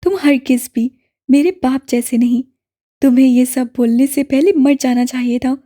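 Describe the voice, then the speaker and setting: A woman's voice: high voice, fairly even intonation, mellow, natural voice. A woman voicing a young woman pleading with her brother, then crying out and cursing him, in an audiobook. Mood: screaming. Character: young woman, distraught pleading elder sister. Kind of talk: audiobook character voice.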